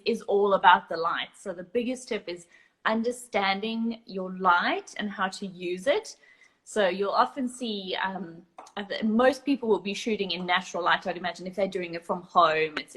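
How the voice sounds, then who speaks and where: A woman's voice: medium pitch, fairly bright tone, poor audio. A woman with a South African accent, a photographer talking through her tips on a live video call, explaining with frequent ums and restarts. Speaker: guest photographer. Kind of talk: casual live chat.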